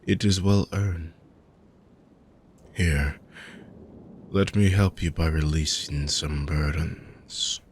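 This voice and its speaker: A man voicing a weary ancient dark mage villain in a fantasy roleplay audio, speaking in a slow, measured way that drifts into hushed whispers. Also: extremely low pitch, steady tone, a little hiss or hum. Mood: soothing, hushed.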